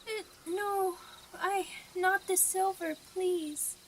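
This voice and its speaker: A woman's voice: extremely high pitch, steady tone, drawn-out words, a little hiss or hum, exaggerated voice, poor audio. A woman voicing a timid, trusting young werewolf pup in an audio roleplay, with a hesitant, stammering delivery. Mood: frightened pleading. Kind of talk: ASMR audio roleplay acting.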